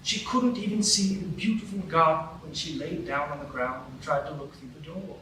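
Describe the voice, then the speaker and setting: A man's voice: a little high, normal speed, pushed and bright. A man narrating a fairy tale in a live radio play, in a wry storytelling way. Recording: very echoey room, mic far off, background noise.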